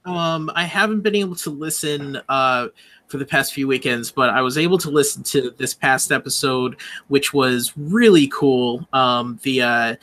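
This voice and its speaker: A man chatting casually as a show guest, talking in a halting, informal, giggly way. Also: mid-range voice, bright, forceful tone. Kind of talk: casual chat.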